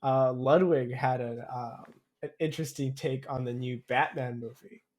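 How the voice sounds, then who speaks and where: A man's voice: mid-range voice, steady tone, moderate pace, a little mellow. A young man co-hosting a podcast, chatting casually in a rambling, hesitant way full of hedges. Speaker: podcast co-host. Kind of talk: casual chat.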